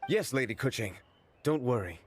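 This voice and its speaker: A man's voice: medium pitch, expressive, normal speed, a little hiss or hum, close to an everyday voice. A man playing an earnest, deferential clerk in a video game, polite, apologetic and eager. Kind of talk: video game character acting.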